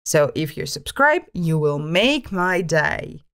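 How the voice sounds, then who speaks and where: Woman narrating stories with very lively pitch. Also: slow pace, vocal fry. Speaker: host reading Reddit stories. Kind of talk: scripted storytime narration.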